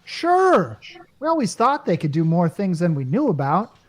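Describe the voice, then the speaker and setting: A woman's voice: lowish voice, sing-song, moderate pace, darkish tone. A woman playing an earnest, flustered male company technician in a radio comedy, hesitant and defensive. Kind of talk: old-time radio comedy acting.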